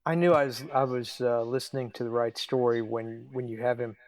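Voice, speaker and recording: A man hosting a casual interview chat, speaking haltingly with trailing pauses. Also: mid-range voice, fairly even intonation. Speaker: interviewer, host.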